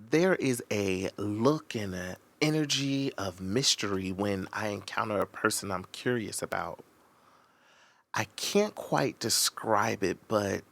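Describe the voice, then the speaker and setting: A man playing a secretive, obsessive man confessing in a measured, confiding audio drama narration with deliberate pauses. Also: lowish voice, vocal fry, a bit bright, heavily acted. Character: Josh, secretive obsessive man confessing his curiosity about strangers. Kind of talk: scripted audio drama first-person narration.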